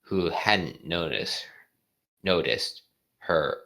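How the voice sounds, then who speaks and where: A person reading fan fiction aloud and chatting about it casually, often halting and stumbling over words. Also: low-pitched, lively intonation, creaky, sharp tone. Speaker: fan fiction reader and co-host. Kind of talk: casual commentary and read-aloud.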